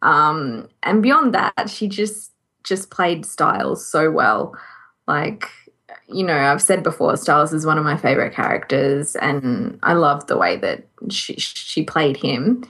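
A woman with a slightly low voice co-hosts a podcast in casual conversation. She gives recommendations in a chatty, self-amused and giggly manner. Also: animated, unhurried, a bit bright, husky. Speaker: podcast co-host. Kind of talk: casual chat, giving recommendations.

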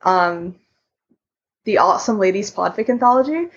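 A woman with a medium-pitched voice chats informally as one of a podcast panel. The recording sounds a little dull and lo-fi. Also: expressive, normal speed, breathy edge. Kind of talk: casual chat.

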